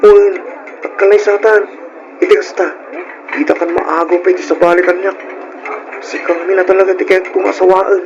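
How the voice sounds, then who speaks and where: A man's voice: sits high, little pitch movement, moderate pace, long pauses, noisy background. A man playing an eager, agreeable young husband in an old-style radio drama. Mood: earnest, hopeful. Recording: dull, lo-fi old radio recording.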